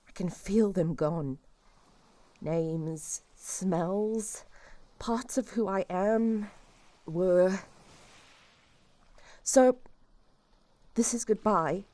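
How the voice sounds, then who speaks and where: A woman playing a despairing, resigned helpline caller in an audio drama, halting and wistful. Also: mid-range voice, warm tone, dry recording. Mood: resigned, sorrowful. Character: despairing, resigned infected woman saying farewell. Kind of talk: audio drama phone-call acting.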